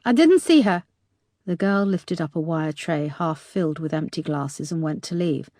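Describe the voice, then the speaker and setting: A woman reading a thriller audiobook in an even, measured way. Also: deep voice, no room sound, clean studio sound. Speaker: audiobook narrator. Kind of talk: scripted narration.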